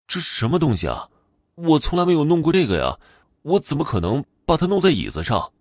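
A man's voice: lively intonation, normal speed, no echo, studio quality. A man voicing a frightened, earnest young man in a horror story, speaking urgently and pleadingly. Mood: indignant, pleading. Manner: urgent, pleading, higher-pitched delivery. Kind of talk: audio horror storybook character voice.

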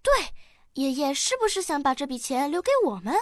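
Woman as eager young child, high voice, very animated, inquisitive, radio play, dry close sound. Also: brisk, no room sound. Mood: puzzled, curious. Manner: inquisitive, earnest. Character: curious, eager young child, grandchild in family. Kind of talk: radio drama dialogue.

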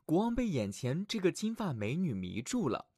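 A man narrating fairy tales for children as a storyteller, reading expressively and dramatically from a script with clear phrasing. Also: on the high side, huge pitch swings, normal speed, darkish tone, no echo, studio quality. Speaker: children's storyteller, show host.

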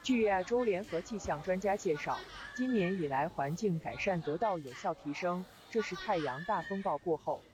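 Formal female newsreader amid loud background clamour, acted. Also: on the low side, lively intonation, normal speed, few pauses, a loud crowd, natural voice. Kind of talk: TV news report within audio drama.

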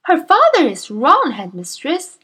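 A woman voicing a gentle young female schoolteacher who pleads earnestly in a high voice. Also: huge pitch swings, a little slow, full character voice. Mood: earnest pleading.

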